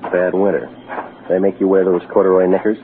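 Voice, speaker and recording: Hard-boiled American private eye, low and sardonic, clipped delivery, heavily noisy vintage radio recording. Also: male voice, level tone, fairly quick, phone-quality sound. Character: tough, wisecracking male private investigator, world-weary. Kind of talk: 1940s radio detective acting with first-person narration.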